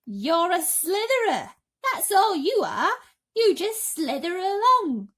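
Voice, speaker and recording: A woman's voice: extremely high pitch, very animated, takes it slowly, a bit bright, voice pushed up, exaggerated voice. A woman voicing a boastful, gleeful male centipede rascal in a storybook reading.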